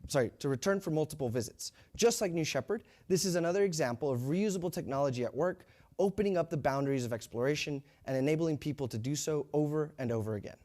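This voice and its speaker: A man co-hosting a live launch broadcast, reading crew introductions with steady, polished delivery. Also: a little high, quick pace. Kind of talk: scripted live broadcast commentary.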